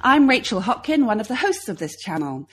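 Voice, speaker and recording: A woman's voice: fairly high pitch, big pitch swings, a little fast, breathy edge. A woman hosting a podcast, introducing and interviewing a guest.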